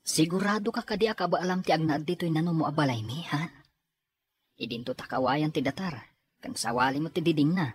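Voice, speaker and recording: Casting: brusque woman, Ilocano, lower register, breathy rasp, radio comedy. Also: fairly quick. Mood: challenging. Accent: Filipino, Ilocano.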